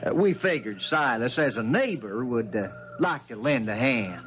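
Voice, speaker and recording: An actor playing a scheming, falsely pious village villain with a folksy manner in a 1970s radio mystery drama. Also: male voice, mid-range voice, animated, moderate pace, a bit bright, a little hiss or hum, lightly acted. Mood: slyly polite.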